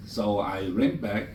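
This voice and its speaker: A man's voice: lowish voice, a little mellow. A man from Malaysia hosts a book launch, telling anecdotes in a chatty way with slightly non-native grammar. His mid-range voice is picked up from a distance, and the room echoes. A faint hum sits behind it. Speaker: master of ceremonies. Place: bookshop event room. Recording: roomy, from a distance.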